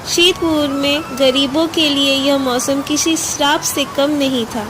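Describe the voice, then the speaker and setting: Slow, high-pitched woman narrating a story. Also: steady tone, noisy background. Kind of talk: scripted Hindi moral story narration.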